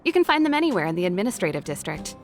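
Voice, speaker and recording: A woman's voice: medium pitch, sing-song, racing, faint music behind. A woman voicing a self-assured young female mechanic in a video game, casual, teasing and nonchalant. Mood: amused.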